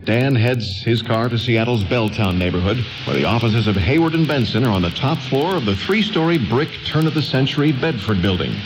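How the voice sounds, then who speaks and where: An actor narrating a scripted radio play in a measured, atmospheric storytelling manner. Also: male voice, on the low side, lightly acted, low-quality recording.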